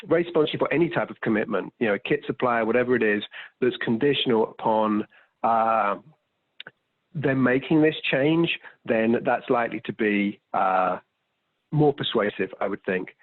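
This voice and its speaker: A man's voice: mid-range voice, moderate pace, no room sound. A man answering audience questions conversationally as a panelist, choosing his words with hesitant, thoughtful phrasing. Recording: thin, phone-like video call audio.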